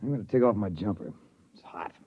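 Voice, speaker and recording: A man's voice: lowish voice, a little fast, slightly rough. An actor playing an irritable, tough male spaceship crewman with curt, needling talk in 1950s radio drama style. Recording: dull, hissy vintage radio recording.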